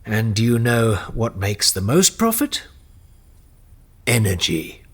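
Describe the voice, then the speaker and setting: An actor playing a patient, shrewd mentor in an audio drama, with a wry, gently instructive manner. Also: male voice, fairly low pitch, big pitch swings, slow, breathy edge, heavily acted. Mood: patient, advising.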